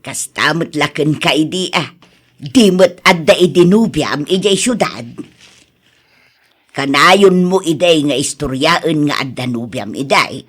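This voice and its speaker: A woman's voice: deep voice, lively intonation, measured pace, breathy edge, exaggerated voice. A woman voicing a chiding, talkative mother of grown sons in an Ilocano radio drama, with an emphatic way of talking. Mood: chiding, gossipy.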